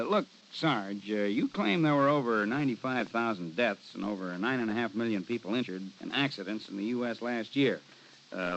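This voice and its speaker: An actor playing an amiable, slightly sheepish police sergeant husband in an old-time radio playlet, folksy and halting. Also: male voice, medium pitch, gravelly. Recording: muffled old radio recording, faint hiss.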